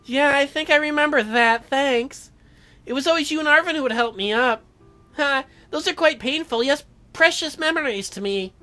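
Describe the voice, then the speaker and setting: A man's voice: extremely high pitch, normal speed, sharp tone, faint music behind, pitched above the performer's own voice. A man voicing a sweet, apologetic teenage girl, reading visual novel dialogue aloud. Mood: wistful.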